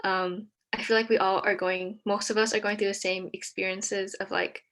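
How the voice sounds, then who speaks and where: Young woman guest, Vietnamese first language, raised in Texas; soft and hesitant, halting delivery full of ums, mid-range pitch. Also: level tone. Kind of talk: casual conversation.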